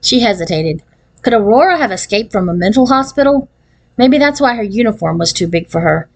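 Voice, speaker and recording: A woman's voice: medium pitch, animated, brisk, vocal fry. A woman narrating a children's novel as a read-aloud, following a written script. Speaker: audiobook reader.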